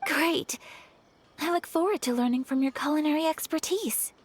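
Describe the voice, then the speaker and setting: A young woman voicing a polite, shy, refined noblewoman in a video game, speaking in a formal, gentle, earnest way. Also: sits high, normal speed, a little hiss or hum, natural voice. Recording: studio voice over clear background music.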